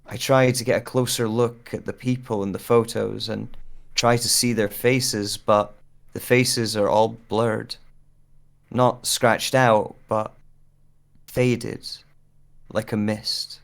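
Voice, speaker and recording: A man playing a wistful amnesiac in an audio drama monologue, speaking hesitantly and deliberately with pauses. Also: on the low side, flat delivery, creaky. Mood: uneasy wonder. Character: wistful amnesiac man searching a room of photographs.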